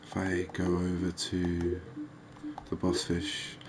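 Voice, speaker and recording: Male ASMR game host, soft and unhurried, very low register, monotone delivery. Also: noisy background. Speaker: gaming video host.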